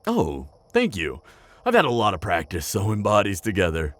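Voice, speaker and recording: A man playing a chatty, pragmatic necromancer in an audio roleplay, talking casually and a little haltingly straight to the listener. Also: on the low side, wildly swooping pitch, fairly bright tone. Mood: chatty, amused. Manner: casual, hesitant asides, frequent chuckling. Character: chatty, upbeat, pragmatic male necromancer, former tailor. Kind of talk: conversational roleplay addressed to the listener.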